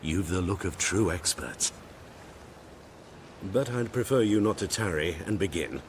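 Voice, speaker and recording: A haughty grain merchant in a fantasy game, with a lowish voice. His speech is formal and smug, oily and shifty, over faint ambient noise. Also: expressive, unhurried. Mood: smooth, haughty. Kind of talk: video game voice acting.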